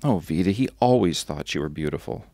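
A man reading aloud as a wry, loving mother who gently teases her daughter. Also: low voice, expressive, fairly quick, no echo, clean studio sound. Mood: gentle.

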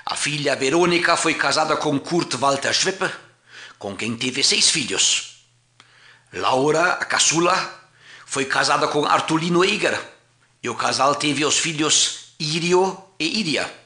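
A man, a pastor, narrating a documentary history in a measured, formal reading voice. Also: on the high side, expressive, sharp tone, echoey room.

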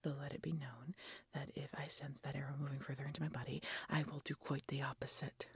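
A woman voicing a proud, haughty wounded female dragon in a fantasy audio roleplay, with breathy, husky, imperious delivery. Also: rumbling low, level tone, no room sound, full character voice. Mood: grudging, proud. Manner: imperious, theatrical, deliberate phrasing.

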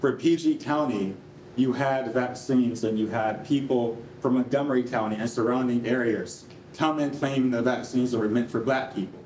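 Young man, informal and animated live presenter, conversational, heard at a distance in an echoing room. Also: mid-range voice, normal speed. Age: young adult. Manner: conversational, animated, frequent "like" and "you know". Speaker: project presenter. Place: concrete-walled rehearsal hall before an audience. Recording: roomy, distant mic, faint noise.

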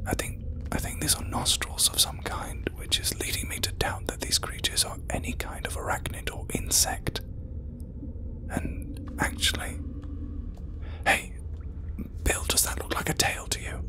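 A man playing a shaken scientist who records a tense log deep inside a cave. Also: a little slow. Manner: low hushed whispering, tense. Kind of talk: horror audio drama narration.

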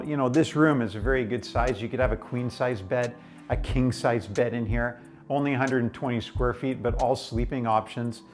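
A man in his forties giving an enthusiastic, informal walk-through presentation, explaining things as he goes. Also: medium pitch. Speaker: presenter, house tour guide. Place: furnished house interiors and outdoor deck.

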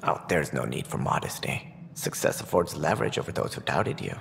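A man voicing a calculating, self-important alien director in a video game, with a formal, measured, pompous manner. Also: a bass voice, expressive, gravelly, big-room sound, a little hiss or hum, full character voice. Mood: flattering.

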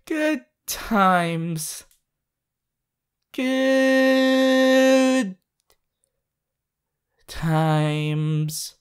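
A woman voicing a sweet, bashful, excitable teenage anime boy in an affectionate, halting ASMR roleplay addressed to the listener. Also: sits high, clean tone, heavily acted. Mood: amused, reminiscing. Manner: halting, affectionate, with small hesitations.

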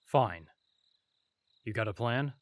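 A man's voice: medium pitch, expressive, takes it slowly, dry recording. A man playing a skeptical veteran bodyguard and exasperated friend in an audio roleplay, blunt and incredulous. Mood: grudging.

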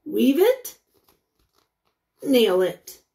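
A woman teacher reads a picture book to young children in a high voice that rises and falls widely. She speaks in short, deliberate phrases and stops to ask the listeners questions. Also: huge pitch swings, warm tone. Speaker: teacher reading aloud to children.

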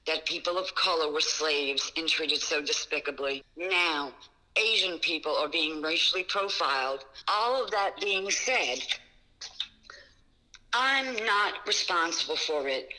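A woman's voice: low-pitched, sharp tone, low-quality recording. A woman giving her opinion emphatically. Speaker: survey respondent.

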